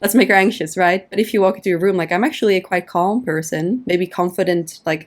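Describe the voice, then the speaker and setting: Woman from the Netherlands, podcast guest coach, explanatory, mimics inner voices in examples. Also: on the low side. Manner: explanatory, uses quick acted-out inner voices. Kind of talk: casual interview conversation.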